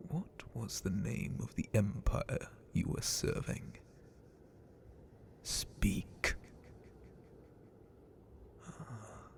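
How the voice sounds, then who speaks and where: A man voicing an ancient, menacing, bored dragon captain in an ASMR roleplay, in a breathy, creaky whisper with a deliberate, condescending manner. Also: on the low side, darkish tone, exaggerated voice. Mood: cold, interrogating.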